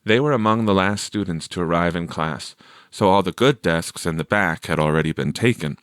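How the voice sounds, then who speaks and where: A man reading a story aloud as a scripted narration, with measured, clear phrasing. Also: deep voice, a bit bright, no echo, studio quality. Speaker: audiobook reader.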